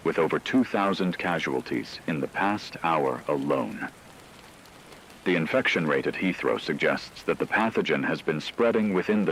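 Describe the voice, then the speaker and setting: Deep-voiced male news anchor played by a man. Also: gravelly, fairly bright tone, a little hiss or hum, heavily acted, rough recording. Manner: formal, measured, clinical. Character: grave male radio news anchor in zombie apocalypse. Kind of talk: scripted breaking news radio report.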